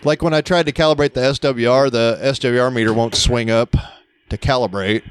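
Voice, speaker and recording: A man's voice: mid-range voice, a little fast, clean tone, soft background music. A middle-aged man co-hosting a casual tech chat, speaking into a studio microphone. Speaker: co-host. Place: cluttered indoor basement studio. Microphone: podcast mic on boom arm.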